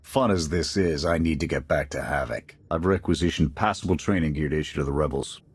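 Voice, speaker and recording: A man playing a blunt, gruff military squad commander in a video game. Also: very deep voice, brisk, no room sound. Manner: gruff, blunt soldier talk.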